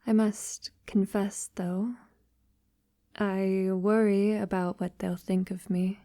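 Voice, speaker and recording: A refined, devoted female vampire, acted by a woman speaks slowly and intimately, often dropping to a whisper. Her voice is dark and velvety, recorded very close and dry. She addresses her lover with formal tenderness, in the style of a romantic ASMR audio roleplay. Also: mid-range voice, flat delivery, no room sound. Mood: thoughtful, gentle. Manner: soft, slow, breathy delivery slipping into whispers.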